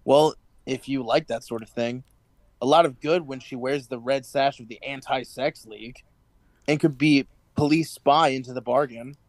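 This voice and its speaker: A man's voice: mid-range voice, fairly even intonation, moderate pace, natural voice. An actor playing an anxious, furtive male party clerk in a radio drama, with a hesitant, nervous manner. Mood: defensive, uneasy.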